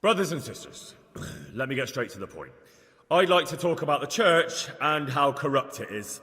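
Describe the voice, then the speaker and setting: A man playing an earnest, unsure young layman who preaches in a declamatory but halting way in a video game. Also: mid-range voice, very animated, pushed and bright. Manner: declamatory but halting, stammering when flustered. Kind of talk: video game acting, improvised sermon. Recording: reverberant church hall.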